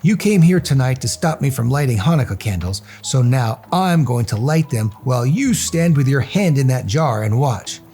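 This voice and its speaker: Man as confident storybook trickster, lively intonation, gravelly edge, teasing, bold, unruffled. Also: medium pitch, moderate pace, hardly any pauses, faint music behind, lightly acted.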